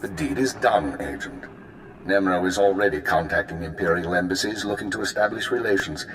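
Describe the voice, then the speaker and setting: An actor playing a formal, commanding intelligence handler who gives orders in measured lines, in a video game cut-scene. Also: male voice, low-pitched, a bit bright. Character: brisk senior male intelligence handler. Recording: roomy echo with faint noise.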